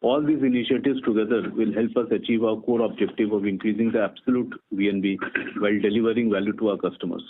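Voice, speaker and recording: A man with an Indian accent reading a formal, measured scripted business presentation as a company executive. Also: fairly low pitch, steady tone. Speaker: company executive on an earnings call. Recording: tinny phone line with clear background noise.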